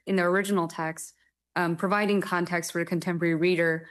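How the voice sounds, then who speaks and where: A young woman from Taiwan, a literary translator, answering interview questions thoughtfully with frequent pauses and ums. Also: on the low side. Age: young adult. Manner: hesitant, thoughtful, many ums.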